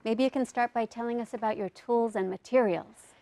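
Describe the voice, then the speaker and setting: Lady host, quick questions, kinda high voice, dry sound. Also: mellow, no echo. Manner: brief, prompting questions. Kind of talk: interview questions.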